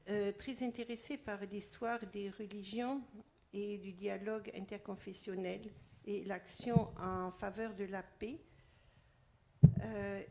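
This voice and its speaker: A woman's voice: mid-range voice, background noise. A woman moderating an event and introducing the speakers, stumbling and restarting her sentences often. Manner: hesitant, with many restarts.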